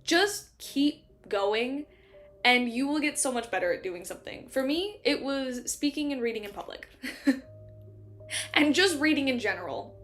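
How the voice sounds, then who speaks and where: A woman's voice: high voice, animated, moderate pace, a bit bright, faint music behind. Chatty woman reading a novel aloud. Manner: chatty, laughs often, many "like" fillers; still stutters on some words. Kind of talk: casual chat between passages of a novel read aloud.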